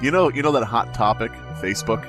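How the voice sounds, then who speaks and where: A man's voice: medium pitch, fast, music in the background. A man hosting a podcast, telling stories in a casual, sarcastic, sweary comic chat. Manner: animated, sarcastic storytelling, profane. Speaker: podcast host.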